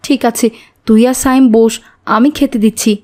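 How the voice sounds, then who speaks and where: Cartoon village mother, woman performer: anxious, kindly, high-pitched, mellow, brisk. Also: fairly even intonation, close to an everyday voice. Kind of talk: cartoon voice acting.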